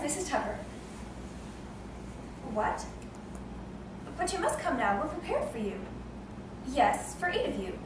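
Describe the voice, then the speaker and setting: An imperious, melodramatic society mother played by a woman, with a very high voice that swings widely as she commands and frets. She is snobbish, theatrical and quick to indignation, in stage comedy style. The sound is distant and very echoey. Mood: insistently gracious. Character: imperious, frantic society hostess mother, snobbish and easily enraged. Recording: echoey room, distant noisy mic.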